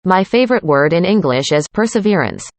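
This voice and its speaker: Low-voiced woman reading lesson dialogue. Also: expressive, brisk, no room sound. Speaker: student in a dialogue. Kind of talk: scripted conversation reading.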